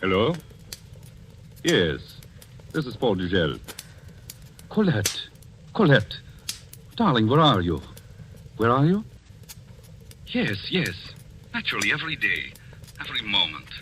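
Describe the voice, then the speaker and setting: A man's voice: medium pitch, huge pitch swings, slow pace, long pauses, bright, forceful tone, a little hiss or hum, full character voice. A man playing a lovestruck young artist, tender and yearning, with an intimate, earnest manner in 1940s radio style. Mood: eager, tender. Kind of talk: 1940s radio romantic drama acting. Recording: old radio broadcast with music behind.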